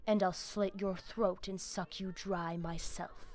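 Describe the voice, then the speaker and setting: A woman's voice: mid-range voice, fairly even intonation, slow, a little mellow, lightly acted. An actress playing a coldly imperious, zealous female vampire crime boss in a video game, speaking in formal, ominous, threatening phrases. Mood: menacing. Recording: music behind the voice. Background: game music.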